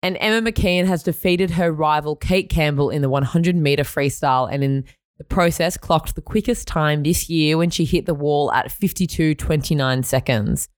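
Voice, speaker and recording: Croaky Australian woman co-host. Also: low voice, moderate pace, dry recording, clean studio sound. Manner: bright and chatty, laughs often. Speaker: podcast co-host. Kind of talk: sports news podcast reading and chat.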